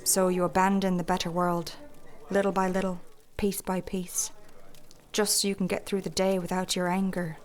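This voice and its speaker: A woman's voice: on the low side, flat delivery, moderate pace, a little mellow, lightly acted. A woman playing a shrewd, candid innkeeper in a fantasy audio drama, with a folksy, thoughtful way of talking. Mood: reflective, sombre. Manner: plain-spoken, folksy, thoughtful.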